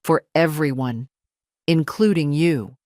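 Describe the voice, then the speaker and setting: A scheming daughter-in-law voiced by a woman narrator talks with deliberate care and condescension. Menace sits under her smooth veneer, in a dry, close audio-drama sound. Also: deep voice, darkish tone, no echo. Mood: cool, pressing.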